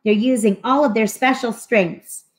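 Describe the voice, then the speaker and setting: A young woman presenting a children's read-aloud in an expressive, explanatory way. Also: on the high side, moderate pace. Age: young adult. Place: small room at home, indoors. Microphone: webcam video call mic.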